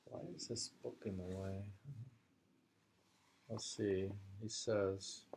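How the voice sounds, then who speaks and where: A man reading poetry aloud and commenting on it informally, in a halting, musing way as he thinks aloud. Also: low-pitched, flat delivery, darkish tone, a little hiss or hum.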